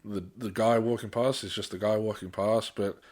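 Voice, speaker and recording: A man's voice: on the low side, fairly even intonation, moderate pace, a little mellow. Casually chatting man, informal. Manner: informal, mildly sweary. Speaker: podcast host. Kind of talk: casual chat.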